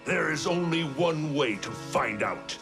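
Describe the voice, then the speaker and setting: A man's voice: fairly high pitch, expressive, a little slow, loud music behind the voice. A man voicing a proud, wrathful male thunder god in a video game cutscene, commanding and prone to angry outbursts. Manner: commanding, rising to angry shouts. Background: orchestral score.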